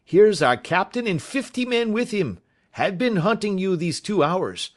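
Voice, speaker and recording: A man voicing a sailor who loudly hails his shipmates to surrender in a storybook reading. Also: high voice, very animated, a little slow, voice pushed up. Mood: urgent shouting. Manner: loud hailing, urgent. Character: male sailor shouting a surrender call.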